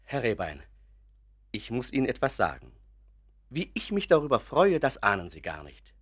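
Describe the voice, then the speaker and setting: A man's voice: mid-range voice, huge pitch swings, normal speed, slightly rough. A man playing an earnest, principled doctor in a German radio play, insistent and reasoning in his talk. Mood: earnest, emphatic. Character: earnest, principled male doctor, sceptical of illusions.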